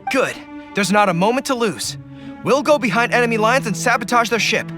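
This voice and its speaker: Earnest young army general, high clear voice, faint game music. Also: male voice, lively intonation. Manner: earnest, measured, tactical. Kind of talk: video game voice acting.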